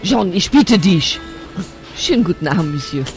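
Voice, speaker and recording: A woman's voice: lowish voice, very animated, moderate pace, soft background music. A woman playing a vacationing wife who teases her husband with an affected manner. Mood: teasing. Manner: teasing, affected. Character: vacationing wife putting on French airs.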